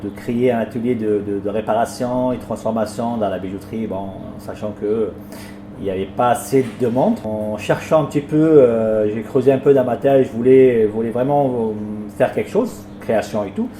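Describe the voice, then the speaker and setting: A man's voice: mid-range voice, mellow, reverberant, a little hiss or hum. A man who runs a small restaurant, answering interview questions in a plain, hesitant way and often repeating words as he talks. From France. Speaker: restaurant owner.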